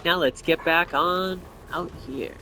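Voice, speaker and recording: A man's voice: fairly high pitch, slow pace, background noise. A man giving casual gameplay commentary as host, thinking aloud and speculating as he goes. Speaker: gamer host of a gameplay video.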